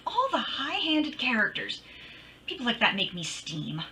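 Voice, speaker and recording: A woman's voice: a little high, wildly swooping pitch, a little slow, sharp tone, recorded from a distance, slight background noise, heavily acted. A woman playing a wry, wisecracking private detective in an old-time radio drama. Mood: irritated. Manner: wry, snappy.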